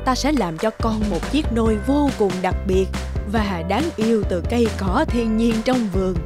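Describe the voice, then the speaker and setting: A woman playing a gentle, doting old woman in a children's fairy-tale cartoon, speaking with tender wonder. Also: a little high, normal speed, over music, close to an everyday voice.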